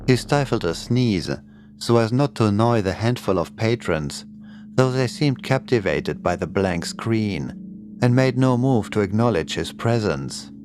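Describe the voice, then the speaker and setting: A man with a fairly low voice narrates a scripted horror tale. Eerie music plays clearly behind him as he reads the prose in audiobook style. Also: expressive, a little slow, darkish tone. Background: creepy music and sound effects.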